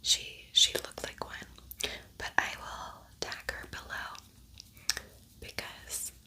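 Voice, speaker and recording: A woman speaking in a breathy whisper, chatting informally and softly as she hosts an ASMR makeup tutorial. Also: slow pace. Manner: soft-spoken, informal, chatty. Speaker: beauty ASMR video creator.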